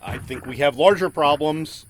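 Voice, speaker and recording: A man's voice: fairly high pitch, moderate pace, lightly acted. A man playing an earnest younger survivor on the run, sincere and understated, in a sci-fi audio drama. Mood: urgent. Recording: clear background noise, insect-like chirping.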